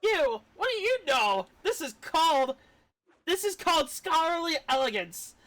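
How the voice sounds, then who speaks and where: A woman's voice: very high voice, big pitch swings, unhurried, fairly bright tone, voice pushed up, heavily acted, low-quality recording. A woman voicing a pompous, romantic little robot scholar from a game, with grandiose, flowery, old-fashioned speech. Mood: affronted. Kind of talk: game dialogue read aloud in character.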